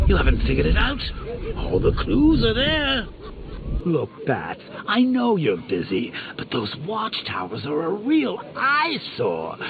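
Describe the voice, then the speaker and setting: A manic clown villain from a video game, his gravelly, creaking voice swooping wildly up and down as he drawls out his taunts. The lines come through a tinny, phone-like recording with a clear hiss behind them. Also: mid-range voice, heavily acted. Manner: mocking, theatrical taunts with sudden cackles. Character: manic cackling adult male clown villain.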